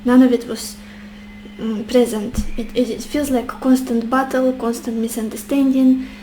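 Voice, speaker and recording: A woman, a little sick and losing her voice, giving a gentle tarot reading to her viewers and musing aloud with soft hesitations. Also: fairly high pitch, little pitch movement, moderate pace, slight background noise, poor audio. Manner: gentle, addresses viewers warmly as beautiful souls. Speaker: tarot reader.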